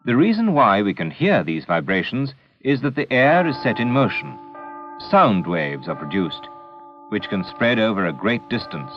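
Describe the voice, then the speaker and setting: A man narrating a scripted educational film in a measured, deliberate, didactic manner, on an old 16mm film soundtrack. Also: lowish voice, sing-song, muffled recording, soft background music.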